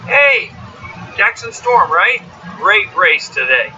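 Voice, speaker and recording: A man's voice: high-pitched, very animated, slow pace, sharp tone, far from the mic, a little hiss or hum, full character voice. A man voicing a cocky, determined race car in a cartoon story, talking casually and earnestly. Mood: friendly, puzzled. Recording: dull sound with music behind.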